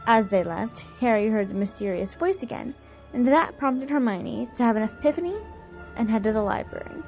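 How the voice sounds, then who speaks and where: A woman's voice: fairly high pitch, moderate pace, darkish tone. A woman narrating a scripted recap of an audio drama story. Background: faint music.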